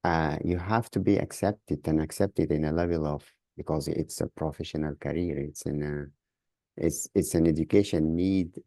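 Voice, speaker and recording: A man from Sudan with a Sudanese accent, answering as a podcast guest in a halting way, repeating words as he searches for phrasing. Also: a bass voice, fairly even intonation, vocal fry, mellow, no room sound, studio quality. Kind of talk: interview answers.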